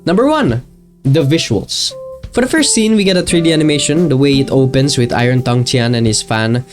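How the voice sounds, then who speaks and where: Male video essayist, mid-pitched voice, informal and self-deprecating delivery, soft plucked-guitar music underneath. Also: lively intonation, a little fast. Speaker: video essayist, channel host. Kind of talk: casual scripted video commentary. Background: guitar and plucked-string music.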